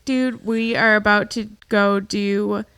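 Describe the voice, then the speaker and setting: A woman's voice: medium pitch, fairly even intonation, takes it slowly, fairly bright tone, smooth, no echo. A woman from Boston co-hosting a casual comedy podcast, joking in playful banter and laughing often. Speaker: podcast co-host. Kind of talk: casual stoner comedy chat.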